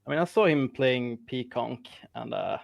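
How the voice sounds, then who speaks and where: Swedish-accented man, easygoing guest, gravelly tone, dry close sound. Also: mid-range voice, moderate pace, dry recording, highs cut off. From Sweden. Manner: laughs often, modest and easygoing. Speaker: interviewed tournament winner. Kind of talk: casual interview.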